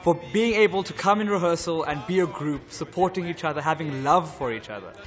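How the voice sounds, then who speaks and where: A young man, a student actor, speaking earnestly and gratefully in a backstage interview. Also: fairly high pitch. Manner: earnest, grateful, a little halting. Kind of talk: behind-the-scenes interview. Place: dark school stage, indoors.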